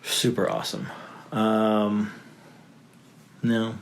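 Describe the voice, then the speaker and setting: A man in his thirties with a deep, low voice, speaking as a podcast guest. He rambles loosely, piling up fillers and restarting his sentences. A faint hiss sits under the recording. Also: completely flat delivery, very slow. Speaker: guest, father of three. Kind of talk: casual podcast conversation.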